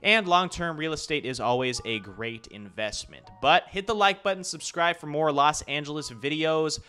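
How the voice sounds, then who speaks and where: A man's voice: a little high, normal speed, pushed and bright, smooth, faint music behind. A young man, a real estate agent, talking loosely through a map tour of a neighborhood with casual fillers and restarts.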